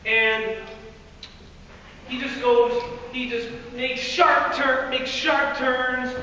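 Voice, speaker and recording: A teenage boy speaking loudly and energetically to a group, his voice very high and bright. He laughs often as he talks. Heard from far off in a large, echoey hall, with a long reverb tail and faint noise behind him. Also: steady tone, drawn-out words. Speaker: youth speaker. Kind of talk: lively devotional talk to a group. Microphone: no microphone.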